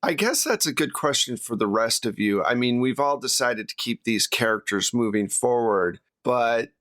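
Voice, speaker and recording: A man's voice: medium pitch, animated, normal speed, a bit bright, no echo. A man speaking casually as host and game master in a round-table discussion.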